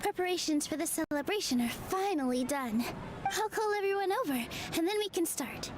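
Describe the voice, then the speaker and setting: A woman playing a kind, gentle, encouraging young woman in a video game, with a sweet reassuring manner. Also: very high voice, expressive, moderate pace, very noisy. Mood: cheerful. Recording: loud game music behind the voice.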